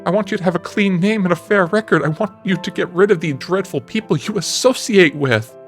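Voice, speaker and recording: An earnest, anguished gentleman painter, as performed by a man in an audiobook. His voice sits fairly high and he pleads and preaches with emphatic feeling. Music plays behind him. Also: a little fast, close to an everyday voice. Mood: pleading. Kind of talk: dramatic audiobook character reading.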